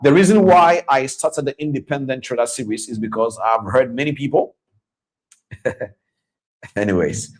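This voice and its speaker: A man's voice: medium pitch, moderate pace, long pauses, low-quality recording. A man hosting a live-stream trading masterclass, chatting and repeating himself as he greets viewers by name. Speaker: trading coach and host. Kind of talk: live-stream masterclass with audience shout-outs.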